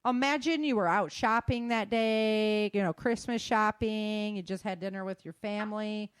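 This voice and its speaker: A woman's voice: mid-range voice, moderate pace, smooth, no echo. A woman hosting a podcast, running through news in a casual, conversational way and speaking informally to listeners. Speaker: podcast host. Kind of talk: casual news roundup on disasters and weather.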